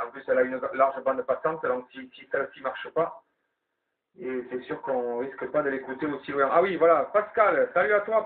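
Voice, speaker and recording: A man chatting casually over CB radio, thinking aloud as he passes the turn to other operators. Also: fairly high pitch. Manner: informal, colloquial, thinking aloud. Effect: CB radio transmission. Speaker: amateur CB radio operator leading a round of calls. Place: CB radio link in FM. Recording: tinny narrow-band CB radio.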